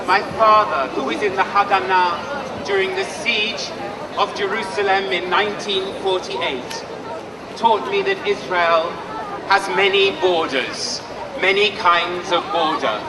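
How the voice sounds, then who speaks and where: Man speaking at an outdoor rally, high pitch, slow and deliberate, distant echoey PA sound. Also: lively intonation, heavy background noise. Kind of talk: public speech. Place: large outdoor square. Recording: distant PA speech in a loud echoing crowd.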